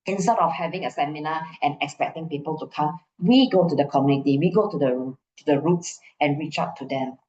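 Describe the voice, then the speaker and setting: A woman's voice: low-pitched, brisk, few pauses, dark tone. A Malaysian woman at the front of a conference hall, explaining her slides point by point, her voice bouncing off the walls and slightly dulled. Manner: repeats phrases for emphasis, checks in with "right?". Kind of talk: conference presentation, informal and explanatory. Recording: muffled, echoey room.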